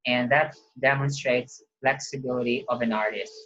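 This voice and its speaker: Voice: medium pitch, steady tone, moderate pace, fairly bright tone, highs cut off. A host casually reacting to a video and talking to viewers in a chatty, upbeat way.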